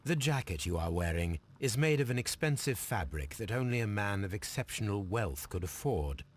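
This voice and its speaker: Male actor as English gentleman detective, low voice, lively intonation, formal, coolly deductive, video game dialogue. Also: normal speed, slight background noise, natural voice. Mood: coolly analytical. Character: brilliant, cool, precise gentleman detective.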